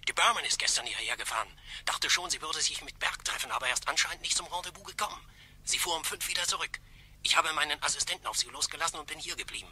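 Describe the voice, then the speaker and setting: A brisk private detective in a radio crime drama. His voice is husky and very bright, pitched on the high side, with an eager reporting manner. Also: expressive, heavily acted.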